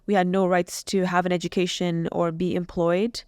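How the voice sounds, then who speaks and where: A woman's voice: fairly low pitch, level tone, moderate pace, darkish tone, smooth, dry recording. A young woman from Iran, telling her story earnestly and reflectively as a podcast guest in a small studio. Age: young adult. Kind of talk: podcast interview. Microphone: boom mic on stand.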